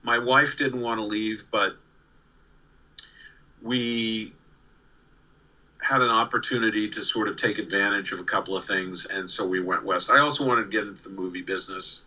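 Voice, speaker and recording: A man's voice: lowish voice, normal speed, long pauses, sharp tone, rough recording. A man in his sixties from New York with a faint New York accent, telling stories as an interview guest over a video call, with frequent restarts and repeated words. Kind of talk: casual storytelling. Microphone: remote video call.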